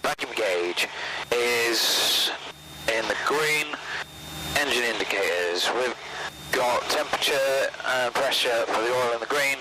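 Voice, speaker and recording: A man's voice: mid-range voice, takes it slowly. A man piloting a small plane, thinking aloud through checklists in clipped, procedural phrases on a headset mic. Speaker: private pilot. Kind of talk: cockpit checklist talk while flying. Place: small aircraft cockpit. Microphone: aviation headset. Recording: loud light-aircraft engine and cockpit noise.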